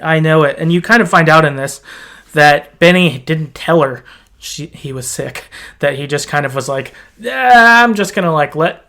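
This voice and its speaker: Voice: medium pitch, fairly bright tone. A podcast host chatting casually, retelling a video game's story in loose paraphrase and hedged, informal phrasing.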